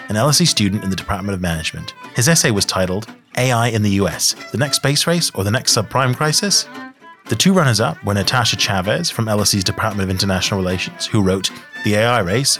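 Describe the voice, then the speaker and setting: A male podcast host with a fairly low voice. He talks quickly, moving through introductions and questions in a presenter's style, with music faintly present. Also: a bit bright. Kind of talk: scripted introduction and interview hosting.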